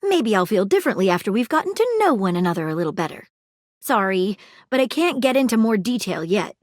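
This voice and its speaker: A woman voicing an eager, polite female magnifying-glass detective in a video game, crisp and precise, often apologetic. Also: mid-range voice, big pitch swings, a little fast, no room sound, clean studio sound, close to an everyday voice. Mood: earnest. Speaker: detective character. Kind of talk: video game character dialogue.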